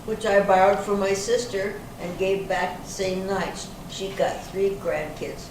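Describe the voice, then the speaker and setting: A plain-spoken, indignant older woman under questioning, played by a woman in a stage reading. She is heard from far away in a very echoey room with clear noise. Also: mid-range voice, fairly even intonation, measured pace, close to an everyday voice. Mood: matter-of-fact. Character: feisty, indignant older woman suspect, club activities coordinator. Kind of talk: amateur readers theater reading. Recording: very echoey hall, distant mic, noisy.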